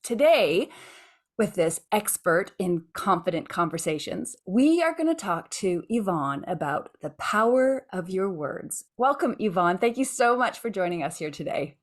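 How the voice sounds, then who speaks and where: A woman's voice: mid-range voice, sing-song, measured pace. A woman hosting an interview podcast, talking enthusiastically and effusively, often restarting her phrases. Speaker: podcast host.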